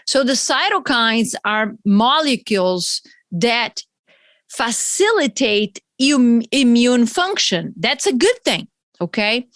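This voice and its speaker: A woman's voice: on the high side, sing-song, slow, fairly bright tone, no room sound. A woman with a Brazilian accent hosting a solo health podcast, chatty and explaining terms with friendly asides. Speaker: podcast host.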